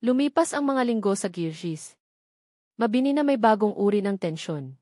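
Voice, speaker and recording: A woman's voice: medium pitch, expressive, moderate pace, warm tone, no room sound, clean studio sound. A woman with a Filipino accent reading scripted narration of a romance story.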